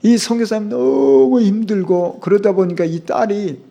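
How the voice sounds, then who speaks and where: High-voiced Korean pastor preaching. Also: male voice, expressive, unhurried, gravelly, warm tone, lo-fi sound. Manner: emphatic, rhetorical questions to the congregation. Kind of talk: sermon. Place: church sanctuary.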